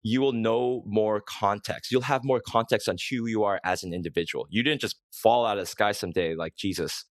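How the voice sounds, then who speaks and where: A man's voice: lowish voice, a little fast, few pauses, no room sound, clean studio sound. A man with a Korean American accent, talking earnestly and at length as a podcast host. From United States. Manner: earnest, long-winded, laughs easily. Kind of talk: casual podcast conversation.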